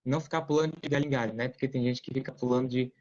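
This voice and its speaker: A man's voice: medium pitch, steady tone, rapid-fire, a little mellow. A Brazilian man giving casual, explanatory advice as an interview guest on a muffled online call. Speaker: interview guest, digital entrepreneur. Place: remote video call.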